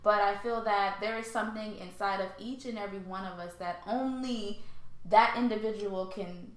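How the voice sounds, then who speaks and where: A woman's voice: medium pitch, fairly even intonation, moderate pace. An American woman hosting a conversational podcast interview, speaking in an earnest, reflective and affirming way. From born in Haiti, raised in St. Louis, Missouri, USA. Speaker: podcast host. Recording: roomy, echoey sound.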